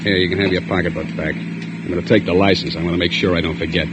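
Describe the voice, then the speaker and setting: A man's voice: low voice, flat delivery, quick pace, lightly acted. A man playing a sneering escaped convict. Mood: menacing. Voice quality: sneering, taunting. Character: menacing male escaped convict, threatening. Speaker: escaped killer. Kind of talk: 1940s radio acting. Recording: lo-fi old radio broadcast, loud hiss.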